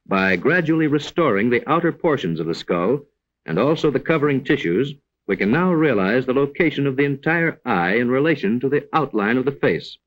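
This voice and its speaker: Male narrator for a 1940s educational film: mid-pitched, formal and didactic, with animated intonation. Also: fairly quick, rough recording. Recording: vintage 1940s film soundtrack.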